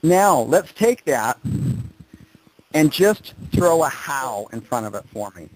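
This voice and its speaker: A man's voice: mid-range voice, very animated, takes it slowly, low-quality recording. Man coaching with probing, directive questions. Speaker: coach leading a group call. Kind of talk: coaching on a group conference call. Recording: telephone conference call.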